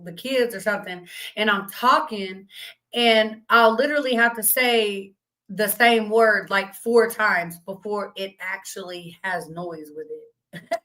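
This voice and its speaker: A woman with a slightly raspy voice hosting a casual live stream, reading chat comments and talking bluntly, with plenty of profanity and laughter. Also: medium pitch, fairly bright tone, poor audio.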